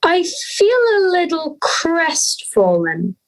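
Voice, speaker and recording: A young woman plays an earnest schoolgirl in an audio drama. Her voice is high and very melodic, moving slowly through her lines with an anxious air. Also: huge pitch swings, full character voice. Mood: bemused, apologetic.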